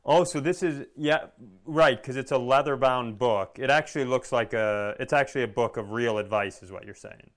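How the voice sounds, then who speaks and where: American male, middle-aged, mid-range pitch, casual comedic chat, conversational riffing. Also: normal speed, few pauses. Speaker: comedy podcast co-host.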